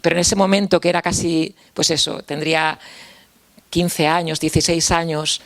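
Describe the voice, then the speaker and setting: A man's voice: a little high, fairly even intonation, moderate pace, fairly bright tone. Candid Spanish man giving a reflective talk. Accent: Spanish from Spain. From Spain, Castilla-La Mancha. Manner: reflective and candid, repeats and restarts phrases, ends points with a questioning tag. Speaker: speaker at a mental health talk. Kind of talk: personal testimony talk.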